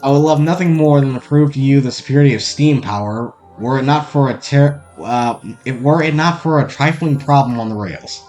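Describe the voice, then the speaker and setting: A man hamming up a pompous steam-train engineer with grand, old-fashioned courtly speech, lowering his voice a little for the part. Soft music plays behind him. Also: fairly quick, hardly any pauses, natural voice. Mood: grandiose.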